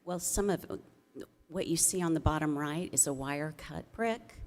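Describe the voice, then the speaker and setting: A woman speaking as a staff member at a public meeting, explaining in a measured, slightly hesitant way. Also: sits low, a little mellow. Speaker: city staff member presenting to commission.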